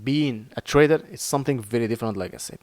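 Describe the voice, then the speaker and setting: Moroccan guy explaining trading, mid pitch, halting, lots of uhs, dry close mic. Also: a little mellow, no echo. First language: Arabic. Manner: earnest, halting, with many fillers and restarts. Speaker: crypto trading teacher. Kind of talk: informal screen-share lesson.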